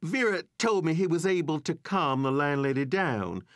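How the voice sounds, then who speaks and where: A man narrating a ghost story in a measured, even storytelling manner. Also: a little high, dry recording, studio quality.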